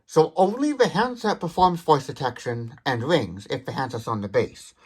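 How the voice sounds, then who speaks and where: A man presents a hands-on product demonstration in a very lively, varied intonation. His voice sits at a middling pitch. He explains each step carefully and thinks aloud, correcting himself as he goes. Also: moderate pace, slightly rough. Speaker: host of a phone gadget demonstration channel.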